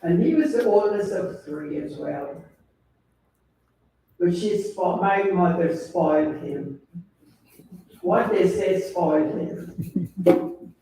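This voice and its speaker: An older man from Guyana, giving a slow, deliberate memorial tribute as a family elder. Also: sits high, warm tone, a little hiss or hum. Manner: slow, deliberate, pausing between phrases. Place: funeral home chapel. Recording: very echoey hall, distant mic.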